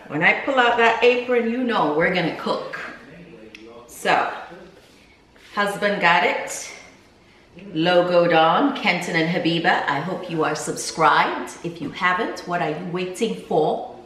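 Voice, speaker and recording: A woman in her forties hosts a casual home cooking video and chats cheerfully to the camera as she works. Her voice sits a bit low and carries the echo of a kitchen, picked up by a camera a few feet away. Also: a little slow, fairly bright tone, slight background noise. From Nigerian, Jamaican and American. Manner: chatty and upbeat, laughing often. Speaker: cooking vlogger. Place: home kitchen indoors, garden outdoors at first.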